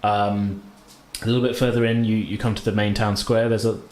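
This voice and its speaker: A man improvising narration as a tabletop game master in an informal, halting way. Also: fairly low pitch, level tone, a little mellow. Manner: halting, informal, hedging descriptions. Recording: roomy, light background noise.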